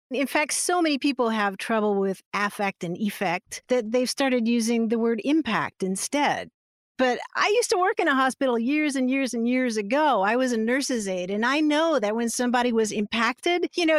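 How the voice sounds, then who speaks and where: A middle-aged woman explaining grammar rules in a relaxed, friendly way, laughing easily as she talks. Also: fairly high pitch, no room sound, studio quality. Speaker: grammar expert guest. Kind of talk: conversational explanation on a podcast.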